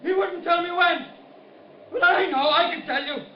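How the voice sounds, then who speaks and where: A man's voice: very high-pitched, moderate pace, slight background noise. An actor playing a despairing, deluded and fragile man in a 1950s radio drama, tormented and rambling. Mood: dazed, eerie. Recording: echoey, dull vintage radio, music behind.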